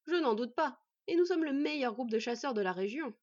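A woman's voice: fairly high pitch, expressive, brisk, no room sound. Woman putting on a jeering bandit chief. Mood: mocking. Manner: mocking, threatening. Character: menacing, jeering male bandit chief, a brutish hunter. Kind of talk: storybook villain voice. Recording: dull, slightly distant microphone.

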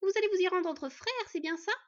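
A woman voicing an excitable giantess, the smith's wife, who talks in a fussing, eager way. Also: very high voice, a little fast, lo-fi sound, voice pushed up.

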